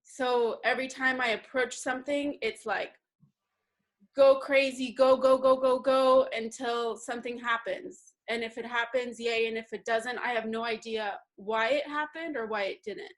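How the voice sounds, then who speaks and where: A woman's voice: on the high side, fairly even intonation, normal speed. A woman from Guatemala telling a group her personal story in an earnest way. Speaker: workshop participant.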